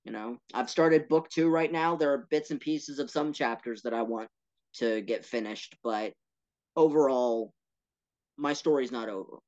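A man talking as a podcast guest about his work, earnest and animated, with playful asides. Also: mid-range voice, normal speed, lo-fi sound. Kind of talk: casual interview talk.

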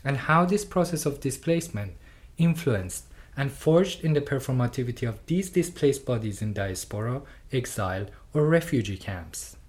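A person from Iran speaks English in a steady, thoughtful lecture performance. Their is Farsi, and the delivery stays earnest and personal, at a middle pitch. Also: moderate pace. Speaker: artist and doctoral researcher.

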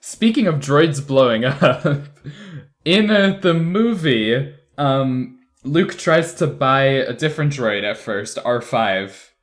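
A man's voice: mid-range voice, animated, slow. A man hosting a casual podcast review, riffing jokily on absurd ideas as he chats. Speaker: podcast host. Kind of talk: casual chat, reviewing a radio drama.

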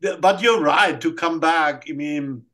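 A man's voice: on the high side, measured pace, sharp tone. A man who grew up in Germany with German as his first language, co-hosting a podcast in a casual, explaining way. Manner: explaining, frequent "right?" and "I mean". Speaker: podcast co-host.

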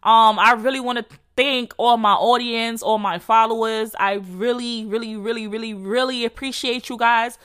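Young Jamaican woman, solo podcast host, bright and forward voice, casual profane slang. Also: a little high, steady tone, normal speed, few pauses. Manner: candid, profane, slangy and rambling. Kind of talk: casual solo chat to followers.

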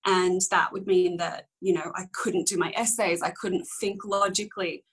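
Candid low-voiced young woman. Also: steady tone, normal speed, slightly rough. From Australia. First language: Korean. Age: young adult. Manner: rambling, candid, many ums and self-corrections. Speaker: interview guest. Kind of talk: casual conversational storytelling.

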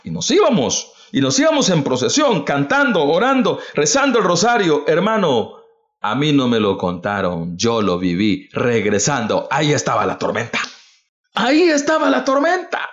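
Un hombre salvadoreño con voz algo aguda predica con fervor en una transmisión. Su tono sube y baja con mucha viveza mientras repite preguntas a su audiencia. La grabación suena apagada y de baja fidelidad. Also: male voice, fairly high pitch, very animated, normal speed, a bit bright. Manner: fervent, calls listeners "brother", repeats rhetorical questions. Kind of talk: livestreamed devotional talk. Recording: dull lo-fi recording.

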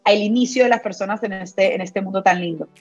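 Woman host, average pitch, eager interviewer, faint music bed behind her. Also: fast, dull audio. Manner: curious, enthusiastic, asks questions with many fillers. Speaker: podcast host. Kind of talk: casual interview hosting.

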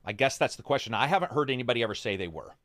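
A man's voice: mid-range voice, sing-song, rapid-fire, a bit bright, dry recording. A man hosting a gaming podcast in casual, unscripted chat, with an informal and self-deprecating manner.

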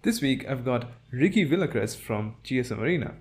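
A man's voice: medium pitch, a little fast. A man hosting a tech podcast who opens the show energetically and chats with a guest. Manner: upbeat, energetic intro. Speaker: podcast host.

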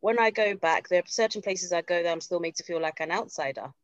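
A woman's voice: on the low side, quick pace, muffled recording. A woman from Nigeria who now lives abroad, reflecting casually and laughing easily. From Nigeria, now living abroad. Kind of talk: casual podcast conversation.

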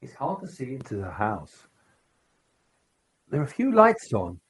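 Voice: mid-range voice, huge pitch swings, a little slow, breathy edge, heavily acted. A performer playing a dutiful male undercover operative on surveillance work in a scripted audio drama. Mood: watchful.

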